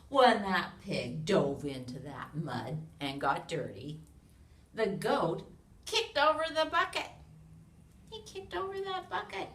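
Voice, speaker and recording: An American woman reads a picture book aloud to young children in an expressive way, with sound effects, questions and hushed asides to her listeners. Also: medium pitch, takes it slowly. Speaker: children's read-along reader. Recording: roomy, distant mic with noise.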